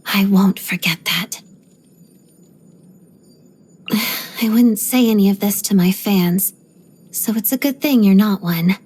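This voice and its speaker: An actress voicing a reserved, disciplined young ballerina in a video game, speaking softly in a measured, guarded way with quiet pride. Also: female voice, medium pitch. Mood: somber, resolute. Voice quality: soft, breathy. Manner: measured, composed, polite but guarded. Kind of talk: video game character voice lines.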